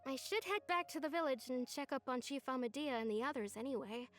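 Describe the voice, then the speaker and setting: A woman's voice: high voice, fast, a bit bright, soft background music. An actress playing a friendly, earnest young village woman in a video game, polite and easygoing. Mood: friendly, casual.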